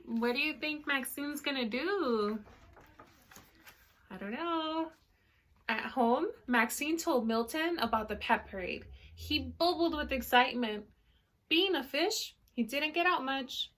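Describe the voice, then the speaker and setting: A woman reading a picture book aloud to children in an animated way, pausing to explain words and ask questions. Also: high-pitched. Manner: animated, checks in with listeners, explains words simply. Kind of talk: expressive read-aloud with explanatory asides for children.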